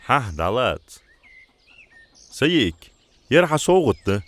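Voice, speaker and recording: Animated man voicing a male cartoon character. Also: medium pitch, slow pace, a little hiss or hum. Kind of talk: cartoon dubbing.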